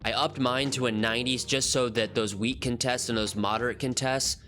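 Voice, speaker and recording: A man's voice: medium pitch, fairly even intonation, moderate pace, a bit bright, faint music behind. A man hosting a gaming channel, casually walking through a build. He sounds nasal from a cold and rambles with frequent ums and restarts. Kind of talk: casual game commentary walkthrough.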